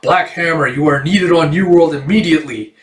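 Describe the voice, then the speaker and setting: Man doing a portentous commanding superhero, raised pitch. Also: fairly quick, reverberant, far from the mic, close to an everyday voice. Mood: urgent. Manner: portentous, declamatory. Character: grave commanding male cosmic superhero summoning an ally.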